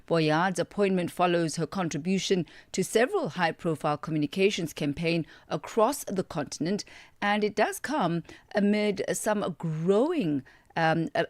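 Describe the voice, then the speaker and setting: A woman's voice: fairly low pitch, dry recording. A woman hosting a formal radio interview in a polite manner.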